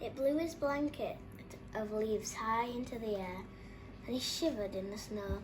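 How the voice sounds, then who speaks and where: A woman reading a children's picture book aloud as an expressive storyteller. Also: on the high side, unhurried, noisy background.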